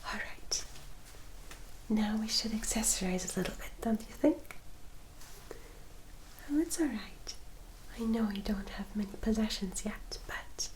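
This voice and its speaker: A woman playing a gentle, caring elder vampire sister of noble society in an ASMR roleplay, speaking softly, formally and soothingly straight to the listener. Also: mid-range voice, natural voice, low-quality recording. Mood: gentle, generous. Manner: slow, soft, formal and soothing, addressing listener directly.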